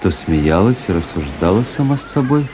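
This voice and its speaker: Radio drama lead: ironic young officer-narrator, low register, measured literary delivery, noisy recording. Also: male voice, big pitch swings. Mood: fascinated, observing. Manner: measured, reflective storytelling.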